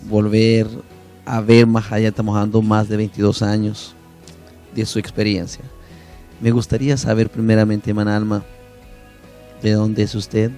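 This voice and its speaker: A man's voice: on the low side, monotone, moderate pace, long pauses, warm tone, soft background music. A man hosting a church interview program, deferential and effusive toward his guest. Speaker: interview host. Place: church media studio.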